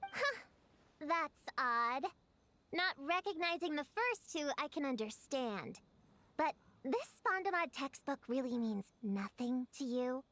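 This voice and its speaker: A woman's voice: high-pitched, sing-song, slow pace, fairly bright tone, a little hiss or hum, exaggerated voice. A woman voicing an eager, stubborn young girl investigator in a video game, earnest and a bit self-important. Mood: insistent.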